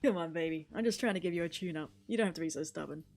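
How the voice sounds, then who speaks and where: A woman's voice: fairly low pitch, rapid-fire, a little mellow, faint music behind. A woman reading the part of a young male student guitarist in a visual novel, coaxing and mildly exasperated.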